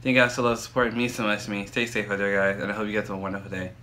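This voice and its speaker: Bright, enthusiastic young man. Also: fairly low pitch, fairly even intonation, very fast. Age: 20s. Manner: enthusiastic, exclamatory. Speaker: reaction video host. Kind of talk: casual reaction vlog. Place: small room indoors with drawings on the wall.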